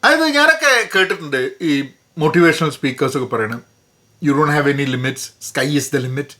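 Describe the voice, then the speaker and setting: A man's voice: on the high side, animated, moderate pace, sharp tone. A man with a Malayali accent giving a casual, explanatory book review, mixing in English phrases and repeating words for emphasis.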